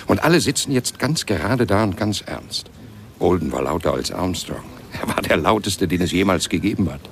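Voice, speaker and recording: Creaky, boastful old-time jazz witness. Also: male voice, low voice, slight background noise. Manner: insistent, button-holing, rambling storyteller. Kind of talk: radio drama monologue.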